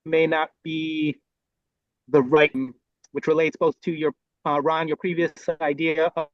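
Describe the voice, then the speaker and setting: A man sharing reflective thoughts in a group discussion, measured, with many pauses. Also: fairly high pitch, flat delivery, dry recording, highs cut off. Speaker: discussion participant.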